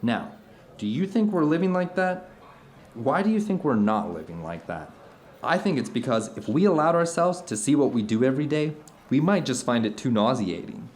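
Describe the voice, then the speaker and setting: Man playing an earnest, animated philosophical dinner companion. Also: medium pitch, normal speed, natural voice. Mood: urgent, philosophical. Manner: emphatic, searching, asks rhetorical questions. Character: earnest, philosophical man telling anecdotes over dinner, urging his friend. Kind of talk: naturalistic audio drama dialogue.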